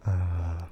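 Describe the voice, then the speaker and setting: A young man streaming, talking in a soft, half-whispered voice, hesitant and full of fillers and quiet laughs. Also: deep voice, lo-fi sound, slight background noise. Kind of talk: soft-spoken ASMR chat with viewers.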